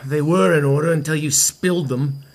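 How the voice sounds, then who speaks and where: A man's voice: a little high, fairly even intonation, moderate pace. A man acting as a vain, hungover, washed-up rock star in an audio comedy, pompous, petulant and sarcastic. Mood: pompous indignation.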